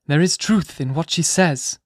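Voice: mid-range voice, unhurried, darkish tone, no room sound, studio quality, natural voice. A reader voicing a careworn young minister who speaks sweetly, tremulous yet powerful. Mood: earnest.

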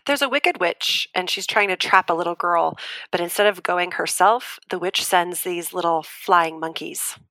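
Audio drama: woman survivor on a debate panel, articulate and insistent, bright tone, very dry recording. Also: lowish voice, normal speed, dry recording. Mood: earnest, explaining. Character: Julia, earnest, firm woman abuse survivor explaining patiently. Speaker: debate guest.

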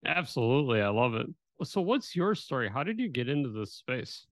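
A man's voice: mid-range voice, big pitch swings, brisk, no echo. A man hosting a business podcast, asking questions in an upbeat, enthusiastic way. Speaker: podcast host.

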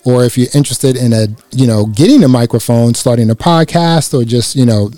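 A man with a slightly raspy voice reviewing a microphone in a casual, chatty way, speaking close into a dynamic mic. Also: medium pitch, brisk, dry recording, faint music behind. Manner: relaxed, chatty, many "you know" fillers. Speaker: gear reviewer. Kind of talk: casual product review to camera. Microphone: close dynamic microphone through a processor.

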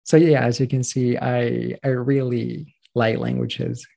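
A man's voice: medium pitch, level tone, measured pace, creaky, mellow. A Chilean man in his thirties, a native Spanish speaker, telling his story as a pronunciation coach in a casual interview, earnest and rambling with restarts.

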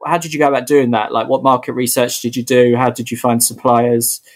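A man's voice: medium pitch, little pitch movement, a little fast. Friendly male podcast interviewer. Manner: friendly, tag questions like "don't you?".

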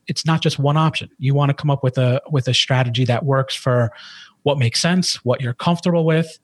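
A man's voice: mid-range voice, brisk, dry recording. A middle-aged man, a financial advisor, explaining plans in a podcast chat with many restarts and fillers. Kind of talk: podcast conversation.

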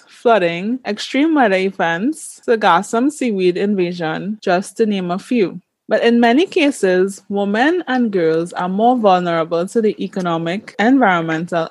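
A woman hosting a podcast, reading a measured prepared introduction and asking her guest questions. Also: mid-range voice, dry recording. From Caribbean. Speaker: podcast host.

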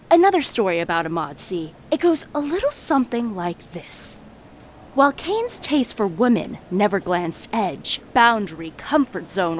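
An actress playing a confiding storyteller in an audio drama, narrating dramatically and straight to the listener. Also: female voice, mid-range voice, a little hiss or hum. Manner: dramatic, addresses listener directly. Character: sly, confiding woman storyteller. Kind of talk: theatrical scripted narration.

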